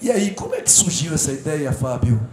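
A man's voice: a little high, very animated, fairly quick. A middle-aged man hosting a studio interview show, talking in an effusive, rambling way with a lapel mic. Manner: effusive, rambling, jokes and shouts at crew. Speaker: talk show host. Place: TV studio set. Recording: echoey studio with audible background noise.

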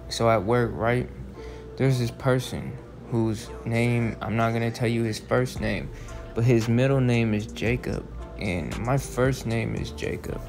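A man in his twenties talks in a meandering, repetitive way, restarting sentences as he goes. His mid-range voice has a gravelly creak to it. Faint music plays quietly behind him. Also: medium pitch. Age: 20s. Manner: meandering, repeats phrases, frequent restarts. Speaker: religious vlogger. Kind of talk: rambling monologue over still images. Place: voice-over, not in pictured scene.

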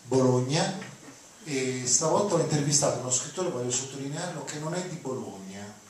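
A man's voice: mid-range voice, animated, moderate pace, darkish tone. An older man from Rome giving an informal lecture, conversational and digressive, seated on stage with a handheld mic. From Rome, Italy. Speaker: lecturer introducing readings. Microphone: handheld mic, recorded from audience. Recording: echoey hall, distant mic, noisy.

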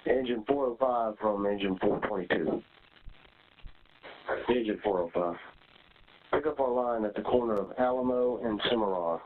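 A man's voice: on the low side, normal speed, long pauses. Tinny radio-filtered male fire officer. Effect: two-way radio. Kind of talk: terse scripted radio procedure calls.

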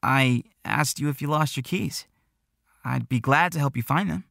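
A man's voice: mid-range voice, moderate pace, creaky, a bit bright, no room sound, studio quality. A man voicing a polite, awkward and eager teenage boy in an audiobook. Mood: polite, helpful.

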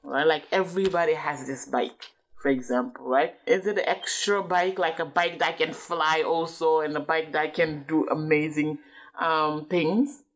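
A woman's voice: deep voice, fairly even intonation, normal speed. A woman reading aloud to a child as a parent, explaining things and checking understanding with questions.

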